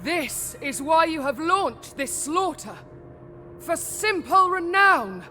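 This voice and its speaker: A woman's voice: extremely high pitch, lively intonation, takes it slowly, bright, forceful tone, faint music behind, heavily acted. A woman voicing a noble, defiant warrior commander in a game, heroic and declamatory. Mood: defiant resolve. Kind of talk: video game cutscene acting. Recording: long reverb, faint noise, ambience.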